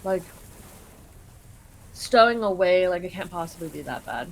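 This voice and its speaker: A woman's voice: fairly low pitch, lively intonation, moderate pace, gravelly, low-quality recording. A woman from Canada casually translating a game on the fly, full of "like" fillers and swearing freely. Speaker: live translator. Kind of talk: casual live translation during a game stream.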